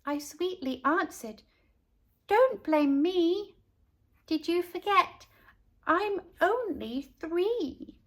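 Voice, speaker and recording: A woman's voice: extremely high pitch, lively intonation, extremely slow, pauses often, a bit bright, voice pushed up, full character voice. A woman voicing a cheeky, self-assured three-year-old girl who narrates a rhyming storybook in an innocent sing-song manner. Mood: sweetly innocent.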